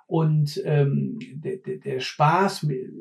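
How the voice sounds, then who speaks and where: A man talks bluntly in a relaxed chat. His voice sits a touch high for a man, and he keeps circling back on his own words as he hunts for the next thought. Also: drawn-out words, gravelly. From Ruhr area, Germany. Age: 50s. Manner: blunt, repeats words while searching. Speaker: former mine fitter, guest. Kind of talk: casual conversation.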